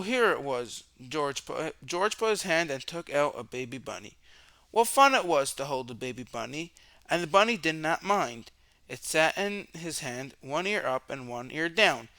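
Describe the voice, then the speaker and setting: A man reading a children's storybook aloud as a storyteller, with expressive narration, dramatic questions and drawn-out words for young listeners. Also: fairly high pitch, no echo.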